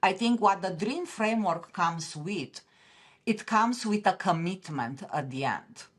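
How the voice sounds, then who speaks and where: A middle-aged woman with a Romanian accent, talking as a podcast guest in a somewhat low voice. She speaks with earnest passion, often circling back and restarting her phrases mid-thought. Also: lively intonation, unhurried. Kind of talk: interview conversation.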